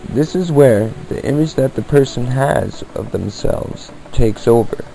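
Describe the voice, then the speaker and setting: A man's voice: mid-range voice, measured pace, gravelly, dark tone, a little hiss or hum, poor audio. A man hosting a podcast, reading scripted informational narration on a basic amateur setup. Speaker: podcast host. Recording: amateur podcast, basic equipment, uneven levels.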